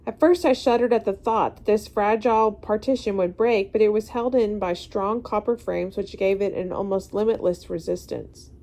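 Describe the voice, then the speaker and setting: A woman's voice: medium pitch, normal speed, hardly any pauses, mellow. A woman narrating a classic novel aloud expressively, now and then stumbling over a word. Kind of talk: read-aloud storybook narration.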